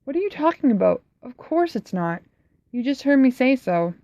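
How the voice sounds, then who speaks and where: A woman's voice: high voice, sing-song, normal speed, mellow, no echo, pitched above the performer's own voice. Firm, genial uncle read out by a woman, dull dry sound. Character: genial but firm middle-aged uncle. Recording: slightly dull, dry recording.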